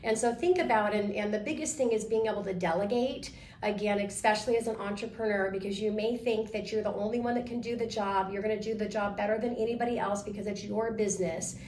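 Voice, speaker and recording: A woman's voice: mid-range voice, steady tone, fast talker, a little mellow. A woman hosts a casual live talk show and speaks in a coaching, encouraging way, running her thoughts together in long sentences. Her voice rings in an echoey room over a faint background hum. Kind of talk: casual interview on a live stream.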